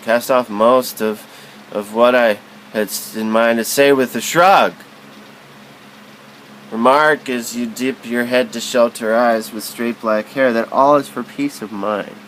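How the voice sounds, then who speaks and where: A man giving a literary spoken-word recitation in a theatrical, halting, wordy way, stumbling and repeating himself. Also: medium pitch, steady tone, a bit bright, a little hiss or hum, poor audio.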